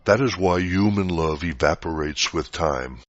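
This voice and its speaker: A man reading a scripted spiritual teaching aloud in a measured, didactic way. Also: deep voice. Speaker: reader of spiritual articles. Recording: dull, roomy lo-fi recording.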